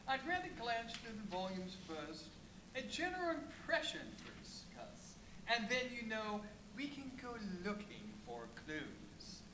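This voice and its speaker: A woman playing a genteel, self-important, evasive vicar in a live radio play, speaking deliberately and pompously. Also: fairly high pitch, very animated. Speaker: stage radio play actor. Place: live performance at a brewery venue. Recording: echoey, noisy live stage recording.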